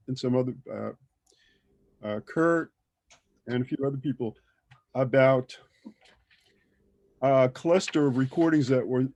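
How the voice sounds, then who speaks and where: A man speaking as a panelist in a casual discussion, halting as he thinks aloud over a video call. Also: mid-range voice, a little mellow, dry recording.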